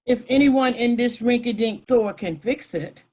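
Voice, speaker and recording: A woman's voice: on the high side, a little slow, darkish tone, husky, highs cut off. A woman playing an arrogant, demanding, self-important businesswoman in her fifties at a comedy script table read. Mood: haughty, demanding. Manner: haughty, demanding, disdainful.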